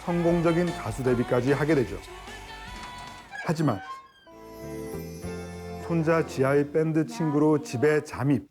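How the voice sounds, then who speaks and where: Smooth-voiced male film show host. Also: on the high side. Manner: smooth, measured presenter delivery. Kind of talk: scripted narration and studio chat. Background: background music.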